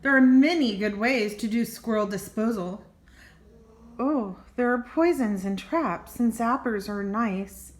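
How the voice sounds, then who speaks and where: Older woman reading slow, businesslike pest-control man. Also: on the high side, close to an everyday voice. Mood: businesslike pitch. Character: pest control man pitching squirrel disposal methods, businesslike. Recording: roomy, echoing home recording.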